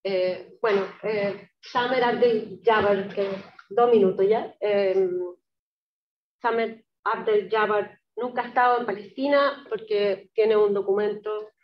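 A woman giving a public talk on poetry with readings, explaining in a halting way and often checking with short tag questions. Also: mid-range voice, fairly even intonation. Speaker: lecturer presenting Palestinian poetry. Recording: roomy, distant, dull lo-fi pickup.

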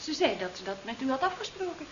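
An actress playing a brisk, prim, deferential hospital nurse in a seventies radio drama. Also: female voice, sits high, sing-song. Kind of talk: 1970s Dutch radio drama acting. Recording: muffled, noisy old radio recording.

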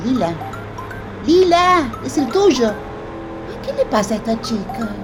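A woman's voice: on the high side, sing-song, slow, over music. A woman playing a bossy, motherly, theatrical troupe actress in a radio drama, with an Argentine accent and exclamatory, fussy talk. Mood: exasperated. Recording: noisy vehicle ambience.

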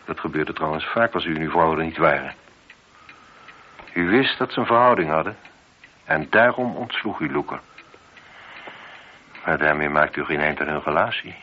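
Casting: methodical police inspector for radio drama, low voice, probing and courteous; muffled, noisy old recording. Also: male voice, lively intonation. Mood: measured, accusing. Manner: measured, probing, politely insistent. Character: shrewd, methodical male chief police inspector confronting a suspect. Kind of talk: radio play dialogue.

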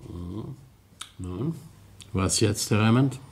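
An actor playing a courteous, slyly ironic police detective in a German radio crime drama, speaking in a measured way. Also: male voice, lowish voice, husky, exaggerated voice. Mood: ironic, skeptical. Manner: polite, measured, gently ironic.

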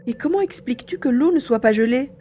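A woman's voice: high voice, expressive, fast talker, mellow. A woman playing a determined, tender young searcher in a French audio drama adapted from a youth novel. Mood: questioning. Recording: roomy echo with music underneath.

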